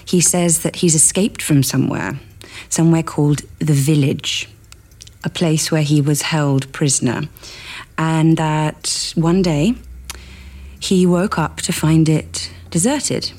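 Husky, slow-spoken troubled woman. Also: deep voice, full character voice. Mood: puzzled, reflective. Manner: hesitant, hushed, reflective. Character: troubled, bewildered woman confiding about a strange man. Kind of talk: audio drama acting.

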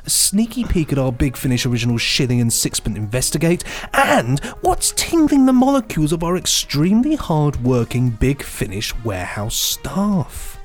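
A man's voice: fairly high pitch, big pitch swings, normal speed, few pauses, faint music behind. A man co-hosting a podcast in a casual, playful, mock-earnest manner. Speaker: podcast host. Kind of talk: casual comic chat.

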